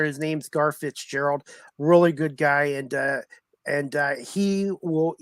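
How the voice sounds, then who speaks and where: A man's voice: on the high side, no room sound. A man hosting a sports talk show, chatting casually and informally with a guest and often repeating himself. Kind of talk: casual interview chat.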